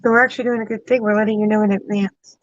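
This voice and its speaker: A woman's voice: mid-range voice, fairly even intonation, rapid-fire, no room sound, dull audio. A woman co-hosting a casual livestream, easygoing, giving short replies. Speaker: livestream co-host.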